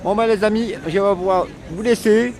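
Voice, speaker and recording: A man's voice: high-pitched, moderate pace, darkish tone. A man reporting from a street protest, chatting casually and supportively, hesitant and full of restarts. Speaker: livestream reporter. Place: outdoor street protest in a city. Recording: noisy protest crowd.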